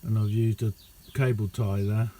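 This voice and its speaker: A middle-aged man talks in a very mellow, fairly even voice. He rambles casually and modestly, like a hobbyist showing something on camera. There is faint noise behind him in a small indoor room. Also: lowish voice, moderate pace. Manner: rambling, understated, self-deprecating. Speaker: amateur radio hobbyist showing his kit. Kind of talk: casual hands-on video chat.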